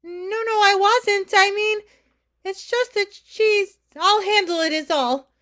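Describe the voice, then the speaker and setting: A woman's voice: extremely high pitch, slow pace, fairly bright tone, heavily acted. A woman playing an anxious, coaxing mother in a staged reading of a drama, fussing and placating. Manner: pleading, fussing.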